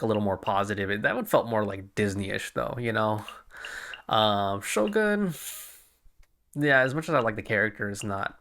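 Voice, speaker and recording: Male gaming streamer; low, bright voice, casual rambling commentary, thinking aloud. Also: gravelly. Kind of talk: casual let's play commentary.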